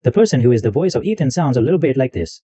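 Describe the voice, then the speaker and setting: A man hosting a tech tutorial, walking through software step by step and repeating himself as he goes. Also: medium pitch, very animated, racing, slightly rough, dry recording, highs cut off. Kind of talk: screen-recorded software walkthrough.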